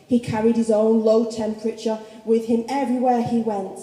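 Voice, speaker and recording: High-voiced lady narrating a radio play, storytelling style, echoey room. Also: level tone, moderate pace, mellow. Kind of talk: dramatic scripted storytelling. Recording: echoey hall.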